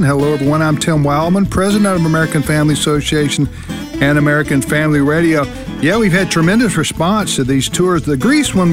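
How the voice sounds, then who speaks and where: A man delivering an upbeat, folksy promotional radio spot for group tours. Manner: upbeat, folksy sales pitch. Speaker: organization president in an ad. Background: guitar music.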